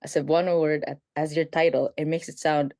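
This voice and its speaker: A woman from the Philippines, a guest on a radio talk show, telling stories in an animated, casual way and laughing as she goes. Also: deep voice, brisk, no room sound, clean studio sound.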